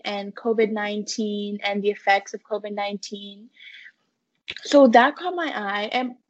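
A young woman speaks as an interview guest. Her delivery is earnest and conversational, with little pitch variation. The recording is somewhat dull and low in fidelity. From United States-born, living in Canada. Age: teens to young adult.

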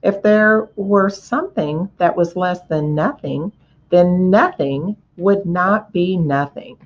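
A woman's voice: on the low side, slow, dull audio. A woman voicing a lonely, earnest young male pig in a storybook reading. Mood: pleading.

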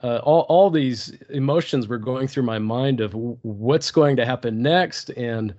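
A man from Turkey, a podcast guest telling a personal story slowly and thoughtfully, often restarting his sentences. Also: a little mellow. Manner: slow, thoughtful, many restarts. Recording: dull low-fidelity podcast audio.

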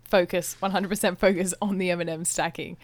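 A woman co-hosting a podcast in a chatty, playful, casual way, with animated reactions. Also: lowish voice, a little fast, dry recording. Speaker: podcast co-host. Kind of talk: casual podcast chat, live taste test.

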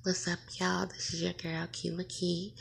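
A woman's voice: lowish voice, monotone, normal speed, gravelly, breathy edge, low-quality recording. A woman, hosting her own casual podcast and rambling, often correcting herself and laughing at her slips. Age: late 20s. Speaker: podcast host. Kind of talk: casual solo podcast intro.

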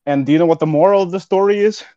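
Guy doing deadpan rambling bits on a podcast, kinda high voice, dry dull mic. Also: rapid-fire, no echo. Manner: mock-solemn, rambling deadpan. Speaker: podcast co-host. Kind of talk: improvised comedy banter.

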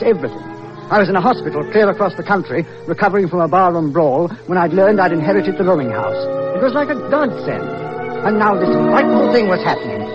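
An actor playing an anxious, excitable man who runs a rooming house, in an old-time radio thriller. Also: high-pitched. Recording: dull old radio recording, music behind.

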